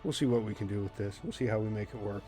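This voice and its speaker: A man's voice: on the low side, very fast, dark tone, soft background music. A man chatting casually in breezy, repetitive short phrases, like a performer about to read a script. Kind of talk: casual chatty preamble before a script reading.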